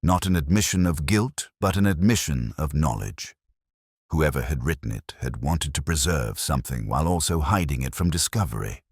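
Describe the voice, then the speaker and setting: A man with an extremely deep voice narrates a first-person detective story. His delivery is measured and literary, with a brooding tone and plenty of movement in pitch. The sound is dry, with no room around it. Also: expressive, slightly rough, no room sound.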